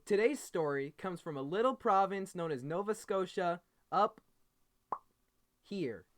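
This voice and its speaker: A man's voice: a little high, clear, resonant tone. A man hosting an informal news explainer, telling the story in a casual, simplified way. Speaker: host of a homemade news explainer. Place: bedroom at a computer desk.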